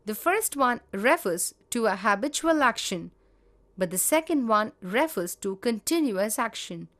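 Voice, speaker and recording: A woman teaching grammar, reading example sentences in a measured, deliberate way. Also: fairly high pitch, lively intonation, fairly bright tone. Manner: measured, spells out each example. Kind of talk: slow, deliberate grammar lesson.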